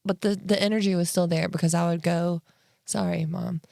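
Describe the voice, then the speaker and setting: Low, creaky woman, blunt and teasing. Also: fairly even intonation, fairly quick, mellow, dry recording, studio quality. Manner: blunt, teasing, crude humor. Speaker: podcast co-host. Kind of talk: casual comedic chat.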